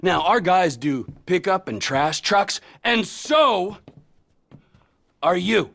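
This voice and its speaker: Crazy raspy game crime boss, high voice that jumps all over. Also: male voice, animated, slow, full character voice. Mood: scheming. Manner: erratic, lurching from whispers to shouts. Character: manic, foul-mouthed male crime boss planning drug heists. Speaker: crime boss briefing a heist.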